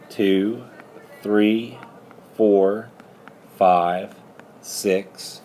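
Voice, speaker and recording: A man's voice: on the low side, mellow, slight background noise. A man working methodically through a math lesson as a teacher, patiently prompting students with questions. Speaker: high school algebra teacher.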